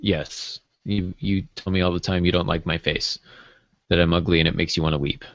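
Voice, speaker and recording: A man's voice: low voice, fairly even intonation, fairly quick, dry recording. A man chatting casually and with dry humour as a podcast co-host. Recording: dull, dry lo-fi online-call audio.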